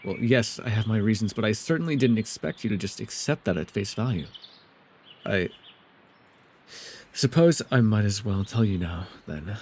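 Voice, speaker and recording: A man playing a mysterious, soft-spoken elf with a gently teasing, secretive way, in an immersive ASMR roleplay with hesitant, tender asides. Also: on the low side, moderate pace, slight background noise, natural voice. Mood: flustered, guarded.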